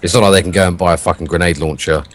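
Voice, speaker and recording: A British man co-hosting a gaming podcast, swearing a lot and arguing his point in a self-described monotone. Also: low voice, very fast. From Britain. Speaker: podcast co-host. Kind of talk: casual gaming podcast chat.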